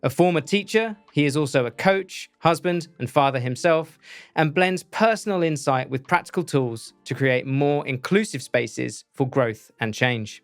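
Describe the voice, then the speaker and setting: A man hosting a podcast, reading a scripted intro in a measured, earnest way before interviewing his guest. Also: on the high side, faint music behind. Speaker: podcast host.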